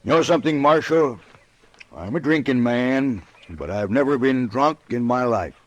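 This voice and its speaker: Actor as a pompous, hard-drinking frontier judge. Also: male voice, mid-range voice, animated, normal speed, highs cut off, lightly acted. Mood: smug, genial. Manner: folksy but pompous and peremptory. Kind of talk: 1940s-50s radio western acting.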